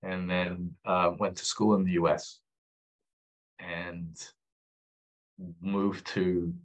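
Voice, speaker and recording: A man's voice: low-pitched, level tone, slow, highs cut off. A man speaking as a podcast guest, explaining his data work earnestly and repeating his main points with frequent restarts. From Egyptian background, raised abroad. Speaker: guest, founder of a data platform. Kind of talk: conversational podcast interview.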